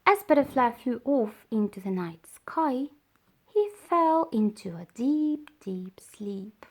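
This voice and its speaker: A woman reading a children's story aloud as storyteller, with deliberate, dramatised phrasing. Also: fairly high pitch, big pitch swings, darkish tone.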